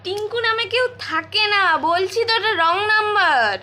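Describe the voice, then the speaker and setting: Very high, bright woman, exasperated. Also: normal speed. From North Bengal, India. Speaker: comedy video creator. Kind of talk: comedy phone-call skit acting.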